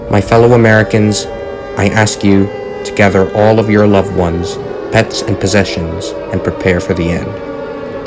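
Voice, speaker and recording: Man acting as grave, low-voiced doomsday President. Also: close to an everyday voice. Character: solemn, resigned male President delivering a doomsday address. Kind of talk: scripted presidential address.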